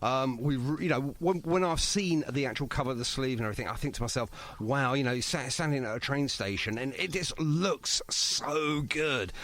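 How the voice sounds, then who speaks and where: A man's voice: medium pitch, normal speed, few pauses, fairly bright tone. A British man hosting a radio music show, chatty and matey, with casual, jokey banter.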